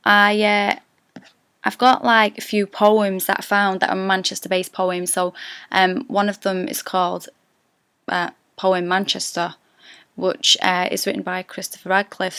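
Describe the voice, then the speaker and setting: A woman's voice: mid-range voice, a bit bright, clean tone, dry recording, studio quality. A woman with a Geordie accent, an actress chatting to camera as she explains how she works on accents, self-deprecating and thinking aloud. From North East England. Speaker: actress giving an accent tutorial. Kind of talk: casual to-camera vlog.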